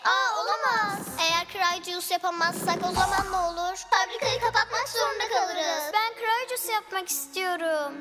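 Çok tiz, çocuksu bebek kız. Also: female voice, extremely high pitch, moderate pace, few pauses. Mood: earnest. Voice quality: childlike, small voice. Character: little baby girls in a cheerful cartoon adventure. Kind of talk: dubbed children's cartoon acting. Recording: studio dub under children's music.

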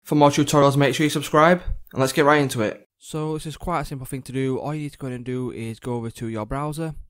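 A man presenting a casual, step-by-step software tutorial in informal, repetitive instructional phrasing. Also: mid-range voice, steady tone, fast, clean tone, no room sound, clean studio sound.

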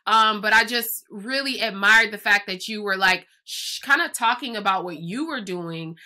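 A woman's voice: medium pitch, moderate pace, sharp tone. A woman hosting an interview over a video call, chatty and enthusiastic, her voice stuffy from a cold. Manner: chatty, enthusiastic, many fillers. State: stuffy, congested. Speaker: podcast host. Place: home room, video call. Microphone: webcam or laptop mic on video call.

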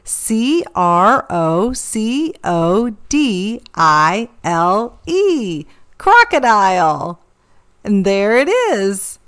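A middle-aged woman hosting a read-aloud for young children, speaking in an animated, carefully enunciated teaching style. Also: mid-range voice. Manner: animated, slow, careful enunciation, sounding out and spelling words. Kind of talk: storybook reading and interactive teaching for young children.

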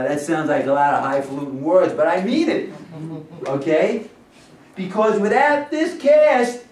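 An older man giving an informal welcome speech to an audience as playwright and director, with an emphatic, rhetorical manner that keeps checking with listeners. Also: fairly high pitch. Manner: emphatic, rhetorical, often checks with "right?" and "okay?". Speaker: playwright and director introducing a staged reading. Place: medium-sized indoor rehearsal room with audience. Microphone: camera several metres away. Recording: roomy, distant camera mic with faint noise.

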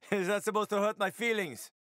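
An actor playing a wry, roguish middle-aged survivor in a video game, with a sardonic, slangy, casual way of talking. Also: male voice, high voice, pushed and bright, no echo, studio quality, exaggerated voice. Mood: strained. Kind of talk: video game character acting.

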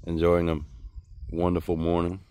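A middle-aged man talks in a relaxed, plainspoken way, telling the day's story outdoors. His voice sits a little low. It is caught on a handheld camera held at arm's length. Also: normal speed, smooth. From Louisiana. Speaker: outdoors vlogger. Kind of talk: casual outdoor vlog. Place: outdoors, lakeside dock and open shed.

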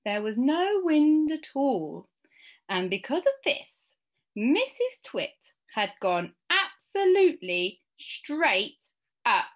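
A woman in her thirties reads a children's storybook. She takes it very slowly, with dramatic pauses and a lively, varied pitch. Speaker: school staff member reading aloud. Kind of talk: expressive children's storybook narration. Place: small indoor room at home.

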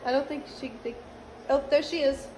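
A young woman in college chatting casually to her phone camera in a relaxed vlog, upbeat and full of fillers. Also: high-pitched, normal speed, noisy background. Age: young adult. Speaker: college student vlogger. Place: dorm room and outdoors. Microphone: phone held at arm's length.

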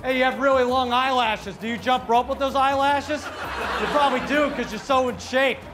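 Pushy high-voiced man shouting street compliments. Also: fairly even intonation, normal speed. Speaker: comedy street prankster. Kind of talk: street prank, loud mock compliments. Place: busy city sidewalk outdoors. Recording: outdoor street noise with music and laughter.

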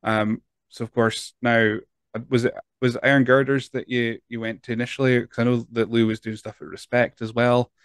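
A man's voice: medium pitch, little pitch movement, brisk, clean tone, no echo. A man in his thirties with a Scottish accent, hosting a podcast and asking long, rambling questions with restarts, on a close studio mic in a home studio. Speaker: podcast host. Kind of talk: casual interview chat. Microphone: headphones and a large studio mic close up.

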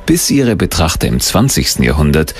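A male documentary narrator with a very low voice that has a gravelly creak. He delivers scripted narration with wide, animated intonation. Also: moderate pace, over music.

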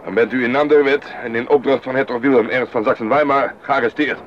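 An actor playing a stern, curt army officer in a radio drama, with a clipped, barking official manner. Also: male voice, medium pitch, steady tone, brisk, slight background noise, close to an everyday voice. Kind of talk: 1980s radio play acting. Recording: dull radio recording with background ambience.

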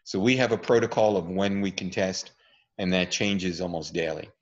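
A man's voice: low voice, normal speed. A man presenting an online talk and answering questions, explaining at an easy pace with lots of ums and uhs. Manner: relaxed, explanatory, with many fillers. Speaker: presenter, physician. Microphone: video call. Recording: dull lo-fi video call audio.

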